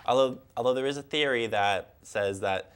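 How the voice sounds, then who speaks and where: A man's voice: medium pitch, moderate pace, clean tone. A young man co-hosting a casual film and TV chat, stammering and repeating words as he feels his way through sentences. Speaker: co-host of a film and TV talk show.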